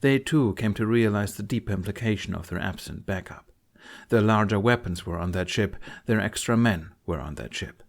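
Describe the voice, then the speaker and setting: A man's voice: on the low side, moderate pace. A man reading dramatic fiction as a scripted audiobook narrator.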